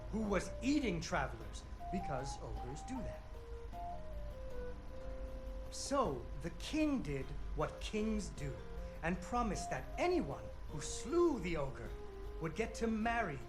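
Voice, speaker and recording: A playful father narrating a puppet-show fairy tale for a video game. His voice is high for a man and very animated, with wry storybook phrasing aimed at a child, over prominent music.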